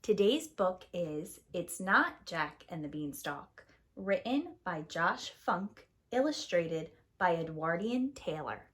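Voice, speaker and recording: A woman reading a storybook aloud as a preschool story-time host, expressive and deliberate for young children. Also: on the low side.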